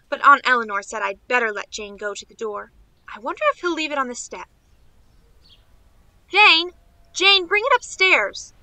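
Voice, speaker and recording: A woman's voice: fairly high pitch, bright, forceful tone, no echo, poor audio. A woman playing a naive, eager young niece in a radio play, chattering in an artless, self-assured, girlish way.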